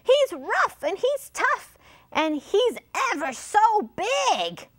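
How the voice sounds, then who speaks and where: A woman voicing an excitable, alarmed male parrot in a storybook, shrill and full of squawks. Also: takes it slowly, no echo, heavily acted. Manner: exclamatory, punctuated with squawks.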